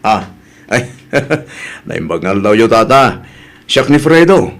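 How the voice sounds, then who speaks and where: A man's voice: fairly low pitch, sing-song, slow, fairly bright tone, full character voice. A man playing a humble, polite husband in an audio drama, deferential and easygoing, with an Ilocano accent. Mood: polite, uneasy.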